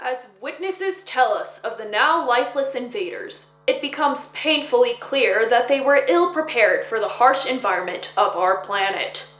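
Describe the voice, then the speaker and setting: A woman playing a solemn news reporter who narrates in a grave, measured, formal style. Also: fairly high pitch, fairly bright tone, no echo. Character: solemn female radio news reporter closing broadcast. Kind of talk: scripted dramatic news narration.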